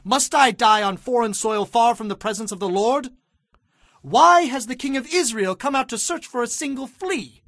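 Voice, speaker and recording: A bold young warrior speaks in a high, earnest voice, declaiming with devout loyalty. It is a dramatized Bible production, recorded close and dry. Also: male voice, normal speed, a bit bright, no room sound. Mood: pleading. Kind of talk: dramatized audio Bible acting.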